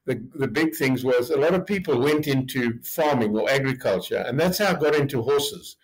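A man in his late seventies from Zimbabwe, a guest telling his life story in a rambling, relaxed way. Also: medium pitch, moderate pace, slightly rough, poor audio. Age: 70s. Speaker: hotel group chairman, guest. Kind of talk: interview storytelling.

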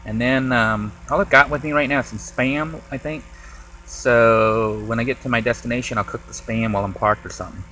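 A man filming a casual vlog from the cab of his van while he drives, talking in a relaxed, rambling way with many ums. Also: mid-range voice, fairly quick, rough recording. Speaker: van-dwelling vlogger. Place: inside a moving van, cab area. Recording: faint road noise in a car cab. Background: vehicle road noise.

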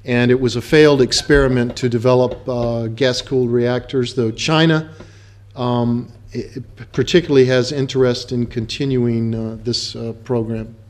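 An older American man, an activist and guest speaker, giving a talk in a conference room into a table microphone, pausing and restarting often. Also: medium pitch, steady tone, a little mellow. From United States. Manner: halting, with frequent restarts and fillers. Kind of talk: lecture in English with consecutive interpretation.

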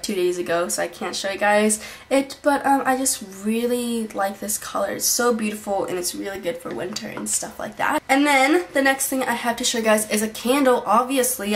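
A young woman talking casually in a beauty vlog, chatty and rambling, with lots of fillers and restarts, recorded on a camera mic in a small bedroom. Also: mid-range voice. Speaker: beauty vlogger. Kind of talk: casual monthly favorites vlog. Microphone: built-in camera mic at desk distance.

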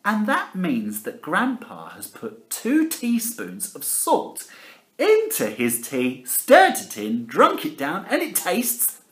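A man's voice: sits high, wildly swooping pitch, slow. A middle-aged man with an English accent reads a picture book theatrically, with exaggerated emphasis and chatty asides to children. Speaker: primary school teacher reading a storybook. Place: living room at home, indoors. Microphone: camera at about arm's length. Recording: echoey room.

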